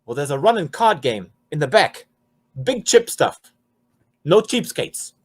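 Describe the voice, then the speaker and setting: A cringing little informant from a vintage radio drama, stammers through street slang. The high voice jumps around nervously and whines. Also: male voice, very animated, measured pace, slightly rough, exaggerated voice. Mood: reluctant, confiding. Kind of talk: 1940s radio acting.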